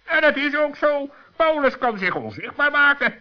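A man's voice: very high voice, big pitch swings, moderate pace. A man voicing a shrewd, self-satisfied raven in a children's radio play, talking in a dry, matter-of-fact way. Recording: dull lo-fi old radio recording.

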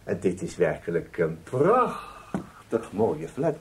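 An actor playing a courteous, quietly sly government investigator in a radio play, with a husky voice and a hesitant, musing way of questioning. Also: male voice, deep voice, huge pitch swings, slow, a little hiss or hum, exaggerated voice. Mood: polite, sly. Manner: hesitant, polite, musing, repeats himself. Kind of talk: Dutch radio drama acting.

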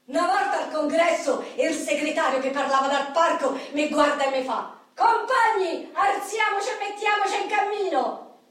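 Feisty high-voiced Roman woman, echoey hall. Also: normal speed, a bit bright. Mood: proud, passionate. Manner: vivid, colloquial storytelling, acting out quoted people. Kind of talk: theatrical monologue in a radio play. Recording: echoey hall, distant mic, clicks.

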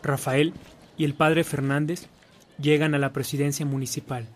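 A man's voice: a little high, level tone, normal speed, lightly acted. A man acting as the storyteller in a Spanish-language radio drama, reading scripted narration. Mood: narrating.

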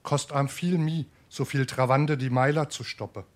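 A polite, worried tradesman acted by a man speaks in a medium-pitched male voice. His Darmstadt Hessian dialect is that of a comic stage play, a put-upon creditor trying to stay civil. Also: fairly even intonation, moderate pace, natural voice. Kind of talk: dialect stage comedy acting.

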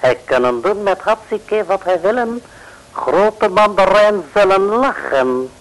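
Slow, high-pitched scheming foreign villain. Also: male voice, lively intonation, exaggerated voice, poor audio. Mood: smug, taunting. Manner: broken grammar with bare infinitives, taunting. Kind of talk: 1950s radio serial acting. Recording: old 1950s radio recording.

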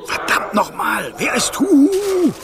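Brusque, swooping golden snake. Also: male voice, very high-pitched, huge pitch swings, takes it slowly, creaky, very noisy, full character voice. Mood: scolding. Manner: brusque, scolding, exclamatory. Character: bossy, grumpy male golden snake, secretly caring guide. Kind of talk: German radio play acting.

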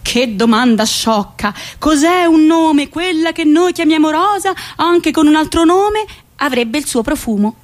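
A woman with a central Italian accent performing expressive, colloquial theatrical narration of a family story. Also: sits high, measured pace. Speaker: actress.

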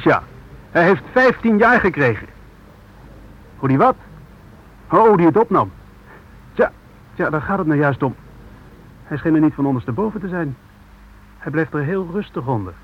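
Impatient male reporter, radio play, higher voice, muffled noisy recording. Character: brisk, impatient male news reporter on the phone. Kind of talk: radio drama acting.

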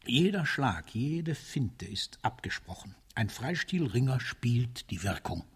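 World-weary, cynical military ruler. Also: male voice, mid-range voice, sing-song, breathy edge, exaggerated voice. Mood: sardonic, emphatic. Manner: bitter, deliberate, rhetorical. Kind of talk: theatrical radio drama monologue.

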